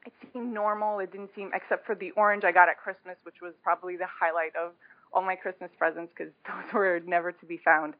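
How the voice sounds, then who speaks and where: A woman's voice: on the low side, flat delivery, fairly quick. On a thin, phone-like connection with a dry, close sound, a woman from Romania speaks as an interview guest. She tells personal stories in a casual, conversational style. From born in Romania, moved to the United States aged seven. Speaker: podcast guest, small business co-founder. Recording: tinny, phone-like line with little echo.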